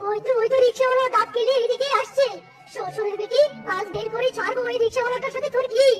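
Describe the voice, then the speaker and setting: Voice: very high voice, steady tone, fast, roomy sound, recorded from a distance, over loud music. An actor voicing a jealous, blustering cartoon husband who threatens and insults. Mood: furious. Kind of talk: cartoon voice acting.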